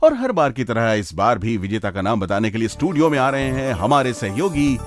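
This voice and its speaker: A man presents a radio show with a middle-pitched, very lively voice. His delivery is conversational and playful, a little theatrical, with faint music behind. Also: a little fast.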